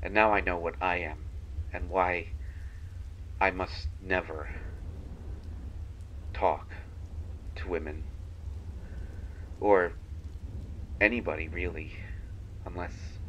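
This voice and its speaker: A man voicing a kindhearted, haunted young banshee in a dramatic monologue, halting between phrases as if confessing. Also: deep voice, steady tone, a little hiss or hum, rough recording. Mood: somber, regretful. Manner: halting, hushed confession with long pauses. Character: kindhearted young male banshee, half-fey, haunted and menacing.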